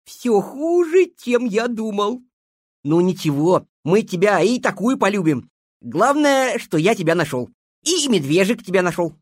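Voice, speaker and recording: A brash, soft-hearted storybook hare as a woman plays him. He is emphatic and exclamatory, with a highly animated voice in a dry, close sound. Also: measured pace, husky, no echo. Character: excitable, bossy, soft-hearted young male hare.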